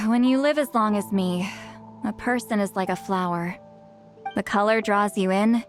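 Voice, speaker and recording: A woman voicing a centuries-old shaman in a video game, halting and awkward, aloof but secretly lonely. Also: mid-range voice, faint music behind, natural voice. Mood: wistful, melancholy. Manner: halting, flustered hesitations turning wistful.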